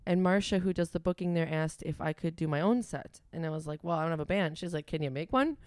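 A young woman with a Wisconsin accent talks in a chatty, easygoing way, with lots of "like" and "um". Her voice sits a little low and sounds smooth and clear. It is recorded close and dry, with no room sound. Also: quick pace, a little mellow, no echo. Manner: chatty, frequent "like" and "um", laughs easily. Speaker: musician guest. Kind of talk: casual interview chat.

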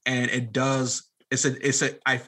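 A man with a bright, forceful voice at a middle pitch talks as a podcast guest. He is conversational and opinionated, leaning on repeated words for emphasis. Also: completely flat delivery, measured pace. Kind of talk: casual conversational interview.

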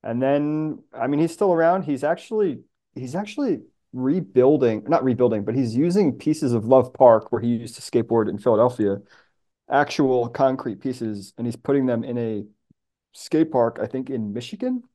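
Middle-aged American man, New Yorker, soft-edged dark tone, casual conversational delivery. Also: medium pitch, moderate pace. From New York, USA. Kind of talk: casual chat.

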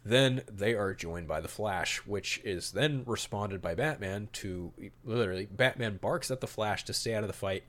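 A man hosts a podcast in a fairly low voice. He shifts from reading out summaries to casual, opinionated commentary, stressing his points emphatically and swearing mildly. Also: normal speed, hardly any pauses, fairly bright tone. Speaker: podcast host. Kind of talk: podcast talk mixing read-out storyboard summary and casual commentary.

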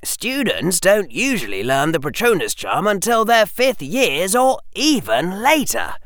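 A man's voice: sits high, gravelly, pushed and bright, dry recording, clean studio sound, pitched above the performer's own voice, full character voice. A man voicing an earnest, precocious schoolboy wizard in a storybook, with wordy, hesitant phrasing.

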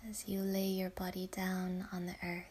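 A woman whispering a guided yoga nidra meditation in a hushed, soothing way. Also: lowish voice, completely flat delivery, normal speed, slight background noise.

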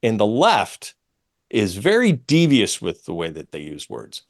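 A man's voice: lowish voice, huge pitch swings, measured pace. A middle-aged American man talking as an opinionated radio host, explaining things in an emphatic, pedantic way. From United States.

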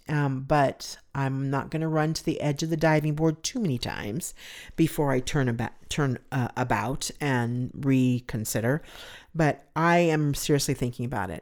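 Woman host with a super low resonant voice, rambly and chatty, pokes fun at herself. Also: a little mellow. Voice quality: deep resonant voice. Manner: rambling, chatty, self-deprecating. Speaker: podcast host. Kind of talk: casual solo podcast talk.

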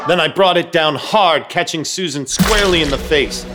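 A man's voice: fairly high pitch, normal speed, music in the background, natural voice. An actor narrating a horror-comedy radio play as a jittery, self-mocking everyman, telling the tale wryly with dramatic quoted outbursts.

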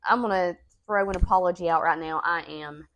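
A woman, co-hosting a podcast, nasal and congested from a sinus infection, chatty and quick to laugh. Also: lowish voice, fairly bright tone. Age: 30s. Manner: chatty, laughs often, says y'all. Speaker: podcast co-host. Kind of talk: casual chat and storytelling.

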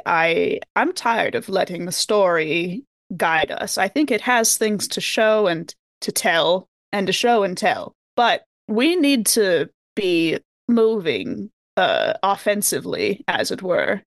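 A woman's voice: mid-range voice, no room sound, studio quality. A woman playing a formal scholarly investigator in a tabletop game, speaking in wordy, careful, precise phrases.

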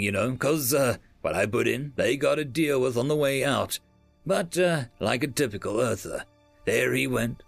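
A man's voice: fairly high pitch, creaky, no room sound, voice pushed up, exaggerated voice. A man voicing a boastful, chatty male chef who rambles in folksy slang.

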